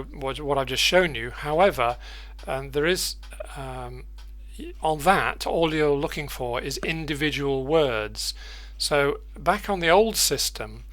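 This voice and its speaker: A man presenting an unscripted software tutorial, thinking aloud haltingly and repeating words as he goes. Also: on the high side. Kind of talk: unscripted screen-share tutorial.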